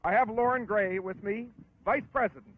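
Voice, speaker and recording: A man conducting a formal, polite sports interview on a 1960s film, with a slightly halting delivery. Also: high voice. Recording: muffled 1960s film soundtrack.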